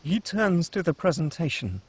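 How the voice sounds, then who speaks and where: A man narrating the stage directions at a script table read, steady and descriptive. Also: fairly high pitch, normal speed, slight background noise.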